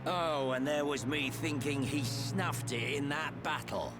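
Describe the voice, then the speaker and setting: An actor with a British accent playing a laid-back, shrewd, matey fighter in a video game, colloquial and offhand. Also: male voice, fairly high pitch, a little slow, lightly acted. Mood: wry, casual. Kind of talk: video game cutscene acting. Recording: loud music behind the voice.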